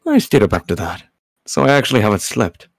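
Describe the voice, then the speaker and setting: A man's voice: lowish voice, sing-song, a little fast, gravelly, no echo, heavily acted. A man voicing a polite, dutiful catboy butler with formal manners in a visual novel fan dub. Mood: reassuring. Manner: formal, courteous. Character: polite, dutiful male catboy butler, soft-spoken night owl. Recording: game music behind the voice.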